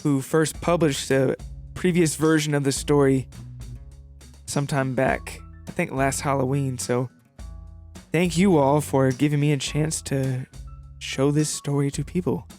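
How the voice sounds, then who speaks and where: A man's voice: mid-range voice, steady tone, a little slow, a little mellow, faint music behind. A man hosting his story podcast, chatting casually to listeners in a playful, joking, self-deprecating way. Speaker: podcast host and story writer.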